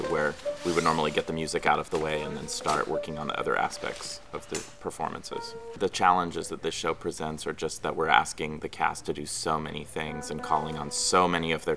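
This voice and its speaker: A man in his thirties, a theatre director, talking in a documentary interview. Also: rumbling low. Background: music.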